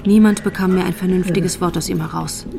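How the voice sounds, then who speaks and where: A woman narrates a radio drama in a measured, literary way. Her voice is on the low side and creaky. Music plays clearly behind her. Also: steady tone.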